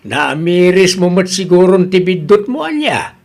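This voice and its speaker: A man's voice: sits high, very animated, a little slow, vocal fry, full character voice. Man acting joking father, Filipino accent, chiding, bantering, comedic radio drama.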